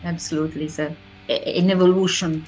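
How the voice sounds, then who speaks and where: A woman interviewer who is not a native English speaker, asking questions haltingly as she searches for words. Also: deep voice, level tone, darkish tone, soft background music, low-quality recording.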